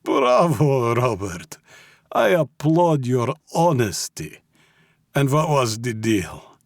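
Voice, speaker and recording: A male narrator plays a suave Russian crime boss in an audiobook thriller. He speaks with a clipped Russian accent, raised in pitch and drawn out slowly. His politeness is condescending and menacing, and the sound is close and dry. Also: sing-song, vocal fry, no echo, exaggerated voice. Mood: menacing calm. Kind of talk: audiobook character acting.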